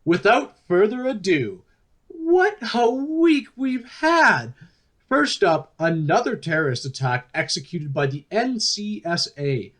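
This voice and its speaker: A man's voice: high voice, very animated, slow pace, a bit bright, exaggerated voice. A man playing an upbeat, chatty news talk show host in a radio play, with an emphatic delivery.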